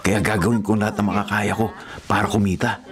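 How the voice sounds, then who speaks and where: An actor playing an earnest, hardworking provincial gardener in a Filipino radio drama, eager and chatty in manner. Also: male voice, fairly low pitch, little pitch movement, fast, crowd noise, lightly acted. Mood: resolute. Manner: eager, chatty, stammers when flustered. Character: earnest, hardworking provincial man turned gardener, jilted in love. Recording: loud music bed behind dialogue.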